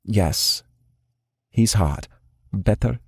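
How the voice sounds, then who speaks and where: A man's voice: fairly low pitch, lively intonation, drawn-out words, slightly rough, no echo, studio quality, full character voice. A man reading an audiobook, voicing a spirited, blunt young woman in a raised voice. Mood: teasing. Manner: insistent, blunt. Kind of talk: audiobook character voice.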